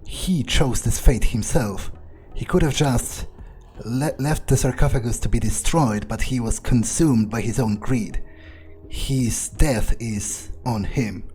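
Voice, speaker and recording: A man's voice: mid-range voice, takes it slowly, darkish tone, close to an everyday voice. A man voicing an arrogant, power-hungry male vampire in a cold, self-assured manner, roleplaying over a video game. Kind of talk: in-character roleplay over gameplay. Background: game music.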